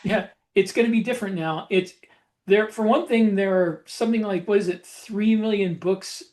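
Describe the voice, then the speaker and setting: A man with a distinctly high voice for a male speaker talks as a podcast co-host and author. His style is casual and conversational. He speaks haltingly, circling back to repeat and rephrase what he means. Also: a little mellow.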